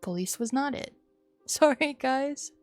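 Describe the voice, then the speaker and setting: A woman livestreamer chatting casually in quiet, muttered asides with some swearing. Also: sits high, expressive, takes it slowly. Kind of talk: casual game stream chat. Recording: music behind the voice. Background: music.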